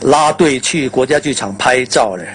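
A man's voice: medium pitch, moderate pace. Older man reminiscing, some background noise. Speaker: veteran radio drama performer being interviewed. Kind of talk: reminiscing in a casual interview.

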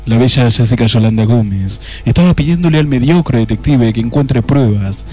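An actor playing the wry, deadpan narrator of a comic detective radio play. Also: male voice, medium pitch, moderate pace, close to an everyday voice. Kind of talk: scripted comic noir narration.

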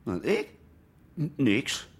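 An actor playing an awkward, jealous young man in a Dutch radio drama, halting and evasive. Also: medium pitch, very animated, breathy edge, heavily acted. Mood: sulky, evasive.

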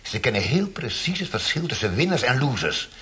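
An actor playing a menacing, mocking interrogator of a brutal regime in a radio play, sneering and theatrical, swinging from quiet threat to ranting. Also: male voice, medium pitch, sing-song, brisk. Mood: sarcastic, scornful. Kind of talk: radio drama acting.

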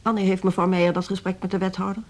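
A stern, middle-aged woman in charge of a music school, acted by a woman for a radio play. She talks in measured, formal phrases at a middling pitch and carries herself as proud and easily slighted. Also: level tone, lightly acted. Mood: composed, sceptical. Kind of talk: radio drama dialogue.

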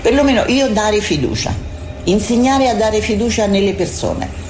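A woman's voice: mid-range voice, expressive, moderate pace, a little mellow, a little hiss or hum. An older woman giving an earnest, encouraging reflective interview answer. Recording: echoey room with faint music.

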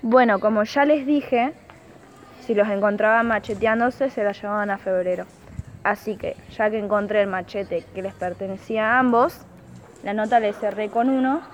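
A woman with an Argentine accent playing a stern, ill-humoured high school teacher in an amateur radio play, addressing the class formally. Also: normal speed, lightly acted. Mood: stern, resigned. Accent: Argentine Spanish. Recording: noisy amateur recording.

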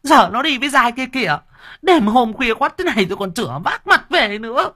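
A storyteller voicing a loud, nagging, middle-aged rich wife who screeches and wails, in a dry close recording. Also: mid-range voice, very animated, fairly quick, husky, voice pushed up, full character voice. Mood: shrill nagging.

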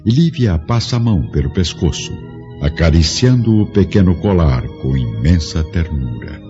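A man narrating a radio drama in a grave, measured way. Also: deep voice, animated. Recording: dull lo-fi recording over music. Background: music score.

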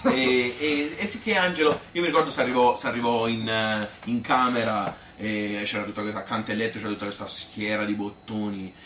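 A man with a Tuscan accent playing a chatty working-class woman who reminisces in a rambling, halting stage monologue. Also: on the low side, fairly even intonation, fairly bright tone, lightly acted. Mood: amused, animated. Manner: rambling, colloquial, halting with repetitions. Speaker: solo stage storyteller. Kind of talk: spontaneous-sounding theatrical monologue. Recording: roomy, distant, noisy live recording.

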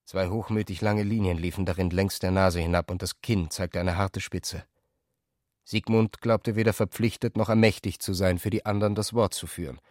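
A man's voice: deep voice, steady tone, normal speed, a little mellow, dry recording, clean studio sound. A man giving a dramatic literary narration for a radio play.

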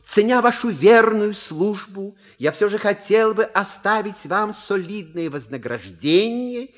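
An actor playing a suave, wry manservant with polite, measured, theatrical delivery in a stage comedy audio play. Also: male voice, high-pitched, lively intonation, a bit bright. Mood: declaiming, wry. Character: suave, wry manservant reading out a scandalous letter.

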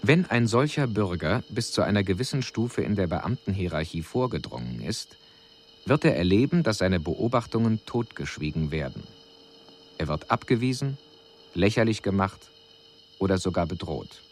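An actor playing an alien envoy who delivers a measured, formal, analytical report in a scripted radio drama. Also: male voice, sits low, big pitch swings, a little hiss or hum. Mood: pointed, factual. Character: male alien envoy soberly reporting on a doomed planet.

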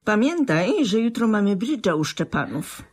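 An actress playing a callous, self-absorbed older wife in a radio drama, with a matter-of-fact, dismissive manner. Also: female voice, medium pitch, very animated, moderate pace, darkish tone, soft background music, close to an everyday voice. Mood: nagging.

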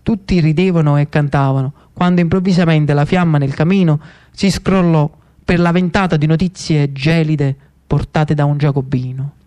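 A man with a Neapolitan accent narrating theatrically as a storyteller-actor, in a dramatic, deliberate way. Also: fairly high pitch, steady tone, darkish tone, smooth.

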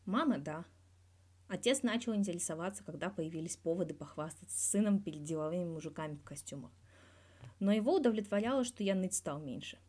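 A woman's voice: lowish voice, expressive, fast, a little mellow. A woman voicing a guarded male hockey star in his thirties, talking candidly and wryly in a scripted interview from a fan fiction audio reading. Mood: reflective. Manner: candid, wry, colloquial with swearing.

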